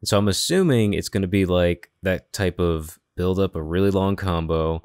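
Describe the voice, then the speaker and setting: A man with a lowish voice and a dry, close sound chats as a podcast co-host. He is informal and agreeable as he talks about video games. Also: moderate pace, darkish tone, smooth, no echo.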